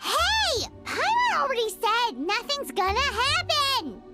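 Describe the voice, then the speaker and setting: A woman voicing a tiny, excitable female fairy companion in a game, blunt and exclaiming, with loud music behind her. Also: slow pace, full character voice. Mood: exasperated. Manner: squeaky, exclaiming, refers to herself by name. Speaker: game character.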